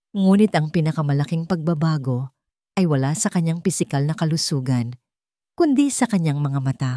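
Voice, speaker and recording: A woman's voice: low voice, a little mellow, dry recording, studio quality. A woman reading scripted narration of a sentimental love story.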